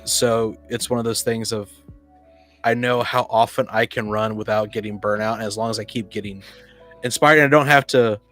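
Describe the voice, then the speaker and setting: A man's voice: on the low side, a bit bright. Casual man chatting over faint music. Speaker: podcast co-host and game master. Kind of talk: casual discussion of tabletop roleplaying games.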